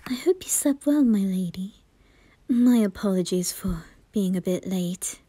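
A woman voicing a gentle, doting, deferential maidservant in an ASMR roleplay, whispering in a courteous, soothing manner. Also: mid-range voice, animated, a little slow, husky, no echo, voice pushed down.

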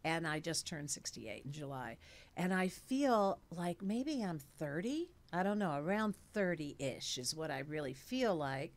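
A woman's voice: low-pitched, very animated, measured pace. A woman in her late sixties, an actress, chatting animatedly in an interview at a studio mic, with many fillers. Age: 60s. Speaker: actress guest. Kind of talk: casual interview chat. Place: studio with dark curtains and blue lights. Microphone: studio mic on a stand.

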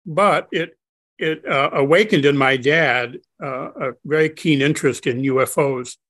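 An older man speaking slowly and haltingly, with many pauses and restarts, as an interview guest on a video call from his home study. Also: on the high side, a bit bright, no echo. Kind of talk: reflective conversation.

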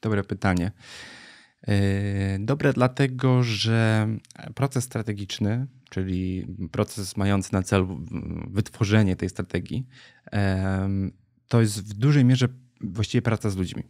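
A man speaking as a podcast guest, explaining his work in a reflective, halting, conversational way. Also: on the low side, a little mellow, no echo, clean studio sound. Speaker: podcast guest, brand strategist. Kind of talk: thoughtful interview conversation.

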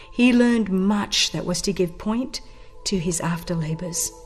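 A woman reading scripted dramatic audiobook narration in a formal, solemn and deliberate storytelling manner. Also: medium pitch, darkish tone. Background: background music.

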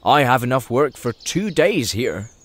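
Friendly male hobbit gardener man acting, audio drama; chatty, respectful, animated delivery. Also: mid-range voice, measured pace. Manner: deferential, neighbourly, chatty.

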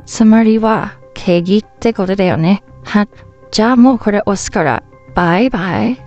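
A woman playing a sharp, suspicious young hacker in a comedic voice drama, speaking in Tsugaru dialect in a blunt, scolding manner. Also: medium pitch, normal speed, muffled recording, faint music behind, lightly acted. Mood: cold.

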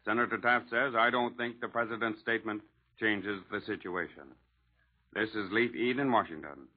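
A man's voice: lowish voice, moderate pace, breathy edge. An American man reporting political news in 1940s radio style, colloquial and wry, on a tinny old recording.

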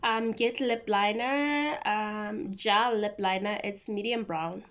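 A woman's voice: mid-range voice, takes it slowly, fairly bright tone. A woman in her twenties with a South African accent, chatting casually and informally to camera as a vlogger. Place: mostly a bedroom at home. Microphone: phone camera at arm's length. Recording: dull lo-fi phone audio.

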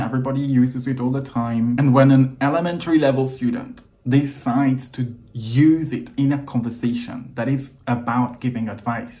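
A man in his thirties speaks English with a Hungarian accent as he coaches fluency learners straight to camera. He talks in an earnest, emphatic way, in a middle-pitched voice, recorded close up in a small room at home. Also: dark tone, poor audio. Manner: earnest, emphatic, gestures a lot with hands. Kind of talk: scripted YouTube lesson to camera.

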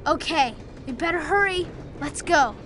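Lady doing brave little boy hero for a game, really high eager voice, bit of noise. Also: expressive, normal speed. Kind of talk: video game cutscene acting.

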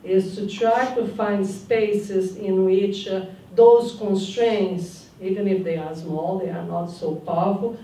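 A woman's voice: medium pitch, mellow, a little hiss or hum. An older woman speaking on a panel, searching for words and adding "no?" tags, on a handheld mic in a conference room. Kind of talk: panel discussion talk. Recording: roomy hall from a distant mic.

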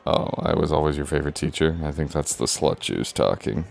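Flirty, commanding former professor, acted by a man: extremely deep, creaky, breathy delivery for intimate ASMR roleplay. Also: animated, brisk, faint music behind, some chatter behind, full character voice. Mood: amused, teasing. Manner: breathy, murmured, teasing, often dropping to near-whisper.

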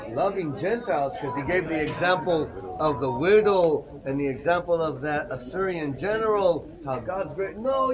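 A man guiding a tour group through ruins outdoors, telling the story in a lively way and asking the group questions. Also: sits high, poor audio. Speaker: tour guide. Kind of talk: guided site tour explanation. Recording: outdoor crowd chatter behind.

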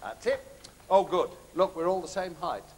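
Man acting a jolly pantomime dad, theatrical, high swooping delivery, noisy theatre recording with audience. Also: animated, normal speed, darkish tone. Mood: jolly, playful. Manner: exclaiming, playful, projected to the audience. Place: large theatre stage.

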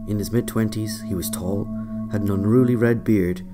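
A man narrating a scripted autobiographical story in a measured, dry way. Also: lowish voice, fairly even intonation. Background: loud music.

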